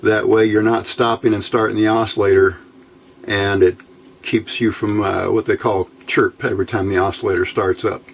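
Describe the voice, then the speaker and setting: A man giving an unscripted hands-on technical tutorial in a matter-of-fact, halting, step-by-step way. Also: fairly low pitch, level tone. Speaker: host of an electronics build tutorial. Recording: clear background noise, room ambience.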